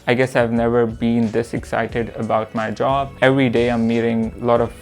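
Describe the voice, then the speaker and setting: A young man from Pakistan talks about his career in an earnest, measured way, often pausing on "uh" to find his words. His voice is mid-pitched with a gravelly edge, and soft music plays underneath. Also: level tone. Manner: earnest, measured, with frequent "uh" pauses. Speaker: product manager and course instructor. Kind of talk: reflective self-introduction to camera.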